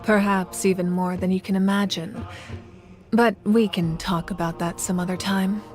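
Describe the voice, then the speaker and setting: A woman's voice: medium pitch, steady tone, a little mellow, close to an everyday voice. Woman acting composed, commanding female leader, music behind. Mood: warm, gracious. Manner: measured, dignified. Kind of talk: anime video game voice acting. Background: game music.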